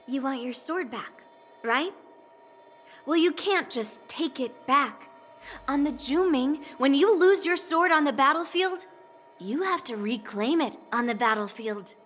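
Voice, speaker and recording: A woman's voice: high-pitched, animated, slow, pauses often, fairly bright tone, faint music behind, exaggerated voice. A woman playing a cocky young swordswoman who teases and laughs often, in a game cutscene. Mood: teasing. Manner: teasing, laughs often, smug. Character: cocky young female swordswoman, teasing and bold.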